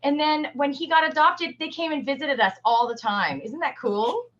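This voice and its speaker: A woman's voice: high-pitched, normal speed, fairly bright tone. A woman working as an animal shelter educator, explaining things simply and encouragingly to children over a video call. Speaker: humane society educator. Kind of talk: virtual field trip talk to children. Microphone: computer on a video call. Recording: dull, lo-fi video call audio.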